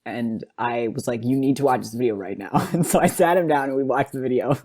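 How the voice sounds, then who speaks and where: Conversational podcast host, mid-pitched voice. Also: fairly quick, a little mellow. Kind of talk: casual video analysis talk.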